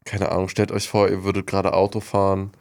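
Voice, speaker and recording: Young man, fairly low voice, radio co-host, blunt slangy banter, English loanwords, casual. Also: monotone, fast, gravelly, a little mellow.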